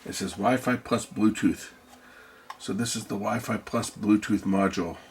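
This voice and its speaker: A man with a gravelly voice giving casual, hands-on electronics commentary, musing aloud and asking himself questions as a video host. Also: on the low side, steady tone, moderate pace, slight background noise.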